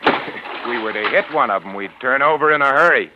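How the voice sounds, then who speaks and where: An actor playing a falsely genial, menacing gentleman in a 1940s radio suspense play, with an urbane, insinuating, mock-friendly delivery. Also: medium pitch, animated, a little fast, close to an everyday voice. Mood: veiled menace. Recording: noisy, dull old radio recording.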